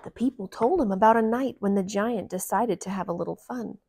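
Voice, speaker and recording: A woman reading a picture book aloud to young children in a gentle, deliberate manner. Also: medium pitch, creaky, a little hiss or hum. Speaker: children's storybook reader.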